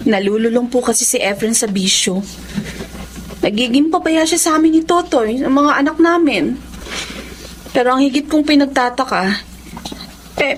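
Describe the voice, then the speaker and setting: A woman's voice: high voice, slight background noise. A woman playing an anguished, scolding young wife and mother in a Filipino radio drama, with a pleading, emotional delivery. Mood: subdued.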